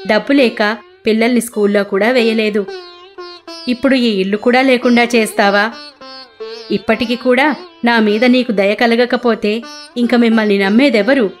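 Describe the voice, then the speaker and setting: A woman's voice: a little high, steady tone, normal speed, pauses often, faint music behind, natural voice. A woman voicing an anguished, devout poor male auto-rickshaw driver in a plaintive, beseeching way for a cartoon story. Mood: sorrowful, reproachful.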